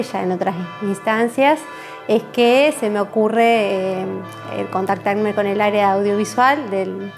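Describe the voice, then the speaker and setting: A woman with an Argentine accent explains things in an interview with a mid-pitched voice. She is careful and articulate, and soft music runs underneath. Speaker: museum communications staff member.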